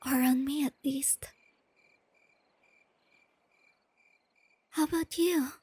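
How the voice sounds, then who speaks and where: A woman playing a shy, nerdy, tender young girlfriend in a soft-spoken ASMR roleplay, with a breathy near-whisper and hesitant, gently stammering delivery, crickets chirping behind her. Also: sits high, fairly even intonation, normal speed, no room sound, natural voice. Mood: dreamy, calm. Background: crickets and night insects.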